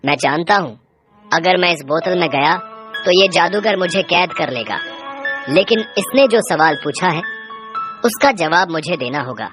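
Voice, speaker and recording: A woman voicing a tender, self-sacrificing male ghost in an animated horror story, resigned and earnest. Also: lowish voice, normal speed, over music, lightly acted.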